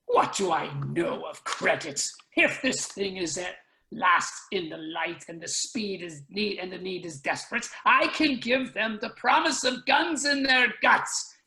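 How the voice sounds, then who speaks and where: Casting: woman as scowling, bullish futuristic government official, declamatory and theatrical, unhurried delivery, mid-range pitch. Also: vocal fry, sharp tone, echoey room. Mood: scornful, belligerent. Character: blustering, indignant, scowling futuristic government official named Smit. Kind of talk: dramatic reading of science fiction. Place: online video call reading.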